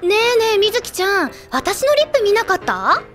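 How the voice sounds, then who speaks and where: A woman's voice: very high voice, very animated, fairly quick, loud music behind the voice. A woman playing a breezy, playful, chatty big-sister type idol in an anime audio drama.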